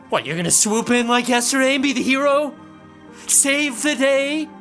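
A woman's voice: high-pitched, moderate pace, a bit bright, soft background music. A woman playing a stubborn, resentful young male college rower in an audio drama. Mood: scornful. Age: young adult.

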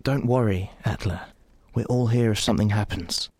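A man's voice: fairly low pitch, moderate pace, darkish tone. A man playing a protective, earnest young warlock, the romantic lead of a fantasy audio drama. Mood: reassuring.

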